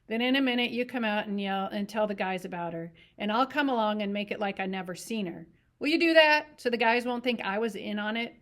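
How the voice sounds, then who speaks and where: A guarded, worried ranch worker, read aloud by a woman, giving urgent, clipped instructions. The pitch stays in a middling range. It is from a storybook reading. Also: a little fast, a bit bright, close to an everyday voice.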